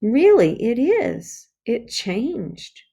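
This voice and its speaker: A woman's voice: fairly high pitch, sing-song, very slow, gravelly, mellow, breathy edge, pitched above the performer's own voice, heavily acted. A woman voicing an earnest, aggrieved young schoolboy in a children's storybook, pleading and insistent.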